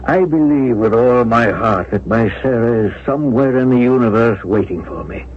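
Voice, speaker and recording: An actor playing a lovelorn old uncle in a 1970s radio drama, husky, dreamy and halting as he speaks. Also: mid-range voice, a little hiss or hum, natural voice. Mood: wistful, dreamy. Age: older. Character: lovelorn old uncle, wistful, devoted to dead sweetheart. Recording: dull 1970s radio recording.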